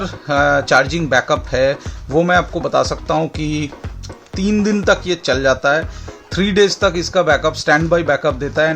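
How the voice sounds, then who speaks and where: An Indian-accented man with a slightly high voice presents a product in a casual, explanatory way. He repeats key points to make them clear. Music plays behind him. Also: fairly bright tone. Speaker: product demonstrator and channel host. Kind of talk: casual product demo mixing Hindi and English. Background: background music.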